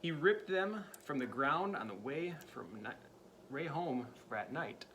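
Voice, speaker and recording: A man reading a picture book aloud to a child, dramatic and playful, dropping into spooky whispers and jumping to loud cries. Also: a little high, very animated, measured pace, a little hiss or hum. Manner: playful asides to the listening child. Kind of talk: storybook read-aloud.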